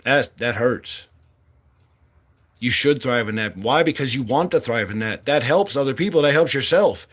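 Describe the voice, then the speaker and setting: A man's voice: medium pitch, animated, normal speed, a bit bright, breathy edge. A man in his late forties giving a blunt, impassioned monologue as a candidate, swearing freely and speaking with fire. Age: 40s. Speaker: political candidate.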